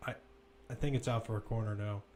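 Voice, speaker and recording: A man's voice: on the low side, steady tone, quick pace, darkish tone, a little hiss or hum. A man giving live football play-by-play commentary, describing the action as it runs, with asides and self-corrections.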